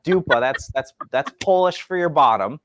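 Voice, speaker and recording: A man's voice: a little high, expressive, normal speed, fairly bright tone, a little hiss or hum. A man giving an enthusiastic, explanatory product demonstration in a casual chat, with a folksy manner. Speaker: furniture company representative.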